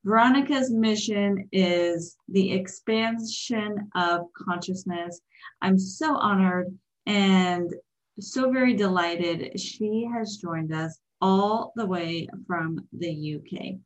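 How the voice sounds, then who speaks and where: A woman's voice: mid-range voice, slow pace. A woman reading a formal, earnest introduction of a podcast guest. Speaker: podcast host. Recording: dull lo-fi recording.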